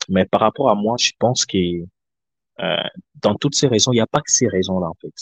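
A man from Africa calling into a live discussion, speaking politely with many hesitant pauses. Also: fairly low pitch, dry recording. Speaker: guest caller.

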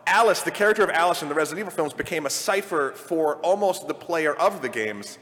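A man speaking informally and emphatically as a panelist in an animated live discussion about games and films. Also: a little high, fast. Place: large indoor convention panel room. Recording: echoey large hall, live panel sound.

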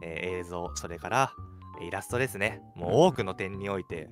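A man's voice: deep voice, normal speed. A man co-hosting a radio chat, mixing polite speech with casual banter. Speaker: radio show co-host. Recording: music playing behind the voice. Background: background music.

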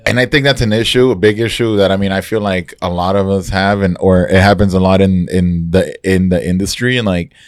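A man's voice: low voice, fairly even intonation, a little fast, hardly any pauses, no room sound, clean studio sound. A man co-hosting a conversation, chatting casually with plenty of slang. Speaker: interviewer, podcast co-host. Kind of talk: casual banter, slangy chat.

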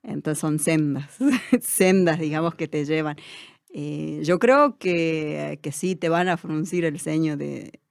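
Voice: mid-range voice, slow, creaky, no room sound, studio quality. A person with an Argentine Spanish accent, speaking as an interview guest in a reflective way, with many fillers and restarts.

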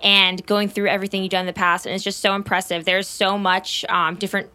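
A woman's voice: mid-range voice, level tone, fast, sharp tone. A woman hosting a podcast, reading her intro and ads, then chatting with a guest enthusiastically. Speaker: podcast host. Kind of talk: casual interview and scripted intro.

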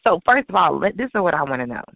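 A hoarse woman co-hosting a podcast, talking in an emphatic, opinionated, sassy way. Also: lowish voice, sing-song, very fast, no room sound. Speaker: podcast co-host. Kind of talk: casual podcast talk. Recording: dull lo-fi sound.

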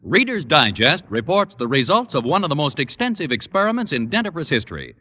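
A man announcing an old-time radio advertisement in a polished, emphatic sales pitch. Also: sing-song, fairly quick, pushed and bright. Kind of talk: 1940s-50s radio advertising read. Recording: muffled, reverberant old radio recording.

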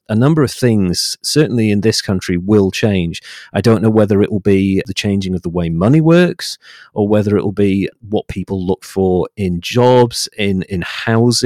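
A low-pitched man talks as a guest in a conversational interview. His delivery is articulate and discursive, explaining as he goes. The recording is dry, with very little room sound. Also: darkish tone, dry recording.

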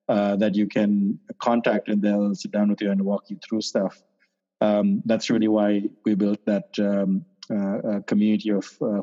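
Hesitant low-voiced man, muffled. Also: warm tone. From Sri Lanka. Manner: hesitant, many fillers and restarts. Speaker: startup accelerator founder, guest. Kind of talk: casual interview answers. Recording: dull lo-fi sound.

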